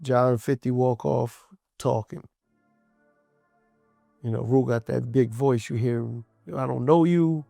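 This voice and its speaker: A man's voice: medium pitch, steady tone, a little slow, gravelly, pauses often, dark tone, dry recording, faint music behind. A man casually recounting a past fight in an interview, emphatic and loose, acting out what people said. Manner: loose, emphatic, quotes people in his story.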